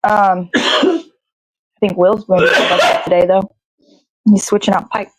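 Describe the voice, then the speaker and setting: A woman's voice: medium pitch, fairly even intonation, moderate pace, rough recording. A woman hosting a casual puppet show livestream, chatting in an informal, rambling way.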